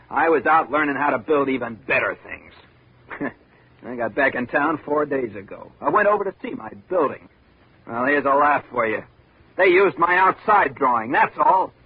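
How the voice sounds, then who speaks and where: A sardonic small-town architect speaks with weary, theatrical eloquence. His voice is markedly rough and breathy, heard through the thin sound of a vintage radio drama. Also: a little high, animated, normal speed, no echo. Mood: bitter irony. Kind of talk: 1950s radio drama acting. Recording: narrow muffled vintage radio recording.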